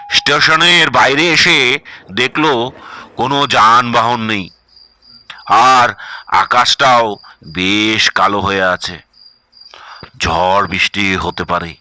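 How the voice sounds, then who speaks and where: Male storyteller, dramatic horror narration, unhurried, suspenseful pauses, crickets and faint noise behind. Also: on the low side, bright, forceful tone, rough recording.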